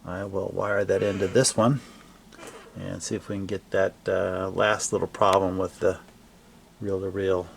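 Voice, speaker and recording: A man casually narrating a hands-on repair demonstration in a halting, unscripted way, recorded in a home workshop on a camera mic. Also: low-pitched, flat delivery, vocal fry, slight background noise. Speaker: hobbyist repairer presenting his own video.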